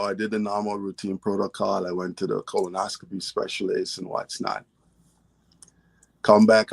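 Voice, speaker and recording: Gravelly low-voiced man telling his story. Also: no room sound. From Guyana. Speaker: guest author and poet. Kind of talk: casual interview talk.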